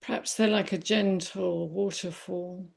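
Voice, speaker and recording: A woman leading a guided body scan meditation in a gentle, unhurried manner with long pauses. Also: fairly low pitch, steady tone, gravelly. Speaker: meditation teacher.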